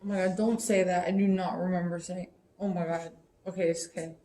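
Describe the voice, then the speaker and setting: A young woman student asking questions in a physics class in a loose, chatty way, quick to laugh. Her voice has a roomy echo from the classroom. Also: lowish voice, level tone, noisy background. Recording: echoey classroom.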